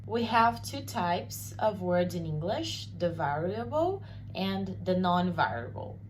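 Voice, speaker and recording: A woman's voice: lowish voice, big pitch swings, rough recording. Woman, twenties, Brazilian Portuguese accent, teacher, faint room hum, small room. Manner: explains slowly, often checks with "you see?" and "okay?". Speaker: language teacher. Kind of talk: casual lesson to camera.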